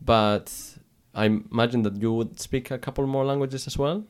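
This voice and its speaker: A man with a smooth, resonant voice hosts an interview. He asks his questions warmly but with hesitant fillers along the way. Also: mid-range voice, fairly even intonation, moderate pace. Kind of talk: interview conversation.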